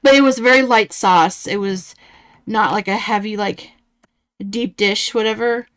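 A woman's voice: medium pitch, measured pace, a bit bright, smooth. A woman in her thirties giving casual reaction commentary in a blunt, sarcastic and profane way. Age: 30s.

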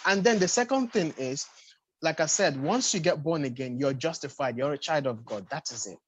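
Husky, slightly high-voiced man explaining earnestly. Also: lively intonation, moderate pace, dry recording. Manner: explanatory, repeats short phrases for emphasis. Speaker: panelist at a church youth congress. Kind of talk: earnest, unscripted teaching in a panel discussion. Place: home room with bookshelves, video call.

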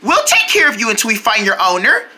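A woman voicing a sweet, excitable young kindergartner in a children's cartoon, exclaiming in a sing-song way to young viewers. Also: a little high, fast, noisy background. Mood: caring, gentle. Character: excitable, sweet young kindergartner, friendly kids' show character.

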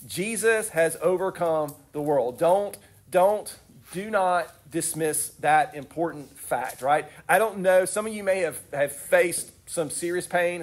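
A young adult man with a cold-congested voice, preaching and praying in an earnest, conversational way, often restarting his sentences. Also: a little high. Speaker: youth speaker. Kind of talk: sermon with prayer.

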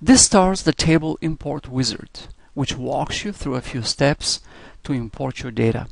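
Man narrating tutorial, gravelly voice. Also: medium pitch, lively intonation, a little slow. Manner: instructional, step-by-step. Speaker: software instructor. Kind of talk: scripted screencast tutorial narration.

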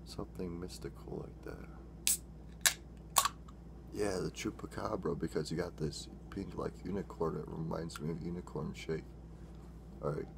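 Young man, very deep voice, gravelly, rambling casual vlog, air-conditioner hum behind. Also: moderate pace, long pauses. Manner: rambling, self-correcting, excitable when tasting. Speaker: drink-mixing vlogger. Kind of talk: casual taste-test vlog. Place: small dim room indoors.

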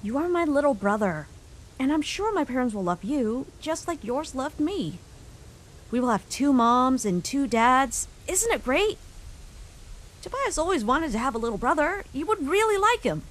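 A woman voicing a caring human child in a comic dub, a protective older sibling who speaks gently and reassuringly. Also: high voice, very animated, moderate pace. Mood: tender.

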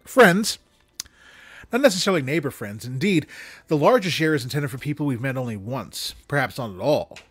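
A man giving an expressive literary reading of a story, with dramatic, deliberate phrasing. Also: medium pitch, slightly rough, no echo. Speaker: story reader. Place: small room with bookshelves, indoors. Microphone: close studio microphone on desk.

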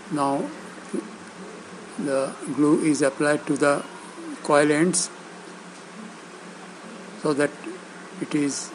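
Man, instructional narrator, very slow pace, slightly high voice, halting short phrases, steady hum behind. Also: rough recording. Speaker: instructor demonstrating loudspeaker assembly.

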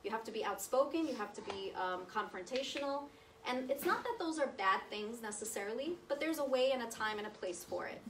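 A woman's voice: a little high, fairly bright tone, a little hiss or hum. A woman in her thirties giving a chatty, conversational talk, seated at a table and often checking in with her listeners. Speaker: guest speaker. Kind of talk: personal testimony talk to an audience. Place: meeting room with projector screen. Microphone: far camera, speaking seated at a table. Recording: distant roomy sound from across the room.

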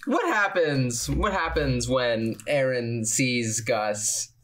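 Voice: medium pitch, lively intonation, takes it slowly, a bit bright. A player in a tabletop game, chatting and narrating casually.

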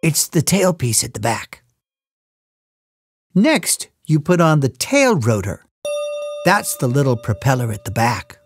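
Lively, unhurried woman narrating for toddlers. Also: very deep voice, husky. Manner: animated, chatty asides to young listeners. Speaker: narrator of a children's cartoon. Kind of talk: playful scripted narration for toddlers. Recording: music behind the voice.

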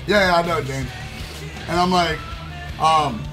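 A young man with a slightly high voice rambles through a story, swearing freely and piling up fillers as he goes. He speaks into a headset at his desk. Guitar music plays clearly under his voice. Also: a little slow. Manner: profane, rambling, animated storyteller with many fillers. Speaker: streamer. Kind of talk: casual storytelling while gaming. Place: indoor room at gaming desk. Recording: background guitar music playing.

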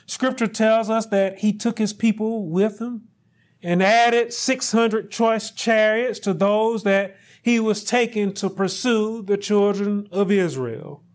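A middle-aged male pastor preaching a sermon, speaking deliberately and rising to loud, emphatic peaks. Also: high voice, steady tone.

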